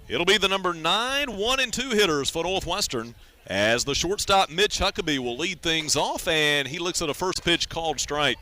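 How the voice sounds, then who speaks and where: Bright-voiced man calling baseball on radio. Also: fairly high pitch, lively intonation, normal speed. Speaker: radio play-by-play announcer. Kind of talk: live baseball radio commentary.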